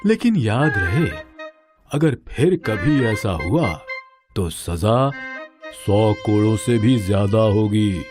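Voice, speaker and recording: A man voices a regal, self-possessed king for a cartoon moral tale. He speaks slowly and with lordly authority, his pitch moving freely. Music plays underneath. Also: very animated. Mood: lordly, stern. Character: calm, regal adult king in disguise, amused, stern. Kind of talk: Hindi cartoon moral story acting.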